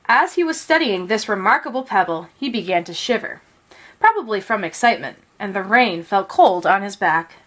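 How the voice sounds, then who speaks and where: A woman narrating a children's picture book aloud in an expressive, careful storytelling manner. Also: medium pitch, moderate pace.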